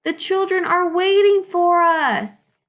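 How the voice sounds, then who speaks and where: Woman reading as an earnest, slow-spoken storybook book lover. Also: very high voice, fairly even intonation, fairly bright tone, voice pushed up, exaggerated voice. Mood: urgent. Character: kind, book-loving village man in a storybook.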